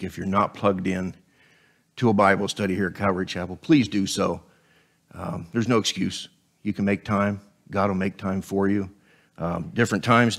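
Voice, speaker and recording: Scratchy-voiced man preaching from a pulpit. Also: low-pitched. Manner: conversational, joking asides between scripture. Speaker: guest preacher. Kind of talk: sermon. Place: church stage at a pulpit. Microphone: headset mic.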